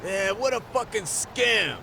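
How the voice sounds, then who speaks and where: Gravelly, high male thug. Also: moderate pace, noisy background. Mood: rowdy, spiteful. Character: crude, rowdy male street thug. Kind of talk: English game dub acting.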